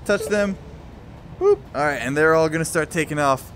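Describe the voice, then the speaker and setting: A young man gives casual, excited commentary as he plays a video game, playful and full of exclamations. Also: fairly high pitch, moderate pace, a little hiss or hum. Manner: playful, exclamatory, talks along while moving in a VR game. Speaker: gaming video host.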